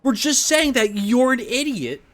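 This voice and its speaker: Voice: mid-range voice, sing-song, unhurried, a bit bright. A game streamer giving casual, blunt and sarcastic commentary, repeating phrases for emphasis.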